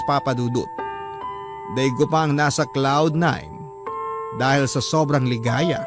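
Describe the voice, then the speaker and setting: A man's voice: medium pitch, natural voice. An actor narrating as a lovelorn man confessing a forbidden love in an earnest, respectful letter for radio drama. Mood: blissful.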